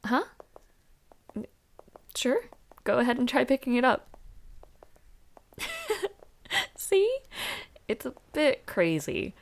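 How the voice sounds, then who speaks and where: A woman's voice: fairly high pitch, big pitch swings, takes it slowly, gravelly, long pauses, exaggerated voice. A young woman playing a chatty, over-prepared university student in a roleplay audio drama, talking casually and a little hesitantly. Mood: sheepish, playful. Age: young adult.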